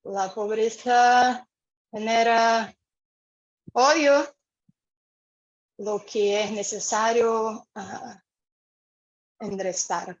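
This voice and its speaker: Unhurried Brazilian woman on video call. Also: on the high side, steady tone, lo-fi sound. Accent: Brazilian Portuguese. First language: Portuguese. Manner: unhurried, searching for words with many pauses. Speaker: community member sharing. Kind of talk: online meeting talk. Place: home room with a fan.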